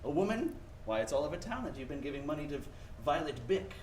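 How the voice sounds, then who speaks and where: Cruel elderly tycoon, played by a man, 1940s radio style, raised pitch, scornful, some noise behind. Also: big pitch swings, quick pace, a little mellow, far from the mic. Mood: sneering, probing. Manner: mocking, contemptuous. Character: cruel, sneering old rich banker. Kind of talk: 1940s radio play acting. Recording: echoey stage, background noise.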